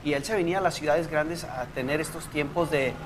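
A man's voice: on the high side, fairly quick, a crowd in the background, rough recording. A man from South Texas, a church music director, chatting and telling stories. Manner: warm storytelling, with frequent restarts. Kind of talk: casual interview chat.

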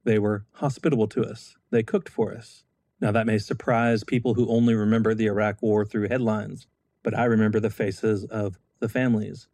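A middle-aged American man, a former Marine and legislator, giving a measured, solemn scripted speech. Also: on the low side, flat delivery, darkish tone, no room sound. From United States.

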